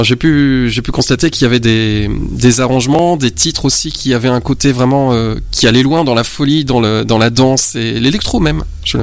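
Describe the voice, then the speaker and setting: A man of ordinary pitch hosts an interview. He asks his guest polite, formal questions and sometimes checks that he has understood. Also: no echo. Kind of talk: radio-style interview questions.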